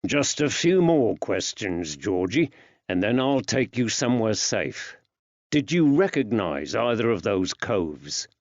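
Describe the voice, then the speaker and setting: A man voicing a kindly character who gently coaxes answers from a child, with old-fashioned working-class phrasing. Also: fairly low pitch, sing-song, slow pace, dry recording, muffled recording. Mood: probing, encouraging.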